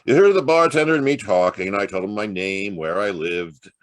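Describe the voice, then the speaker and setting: A man's voice: on the low side, expressive, fairly quick, no room sound, close to an everyday voice. An actor playing a world-weary, wisecracking private detective in a noir audio drama, with wry, clipped tough-guy delivery. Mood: defiant, cool. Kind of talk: noir radio-play acting and first-person narration.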